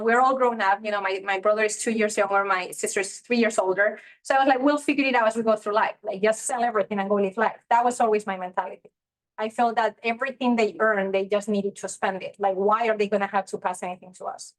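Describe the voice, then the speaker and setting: A woman's voice: mid-range voice, poor audio. A woman, chatting candidly as an interview guest and often saying "you know" and "like". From Spain. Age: 30s. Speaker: interview guest, real estate investor. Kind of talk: casual interview chat.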